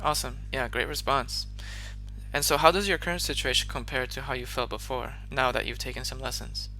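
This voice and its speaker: A man's voice: mid-range voice, steady tone, brisk, bright, forceful tone, soft background music, poor audio. A man who teaches English, asking his student interview questions in an upbeat, encouraging way.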